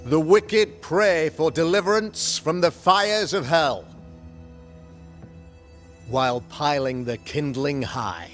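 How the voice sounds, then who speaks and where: A pompous, preaching colonial governor. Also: male voice, on the high side, takes it slowly, pauses often, fairly bright tone, soft background music. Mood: preaching, fervent. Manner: pompous, formal, oratorical. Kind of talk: theatrical period drama sermonizing.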